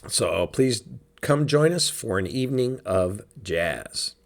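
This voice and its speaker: A man with a raspy, hoarse voice from a sinus cold, hosting a casual church podcast in an easygoing, chatty way. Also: on the low side, expressive, slow pace. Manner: easygoing, chatty, with many fillers. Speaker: podcast host.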